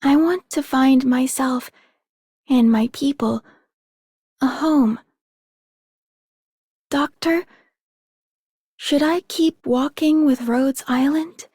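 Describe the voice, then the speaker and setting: Soft, high, burdened young leader. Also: female voice, no echo, clean studio sound. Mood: solemn, uncertain. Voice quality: soft, breathy. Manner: solemn, measured, vulnerable. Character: burdened, gentle young woman leader, earnest and weary. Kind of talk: game character voice acting.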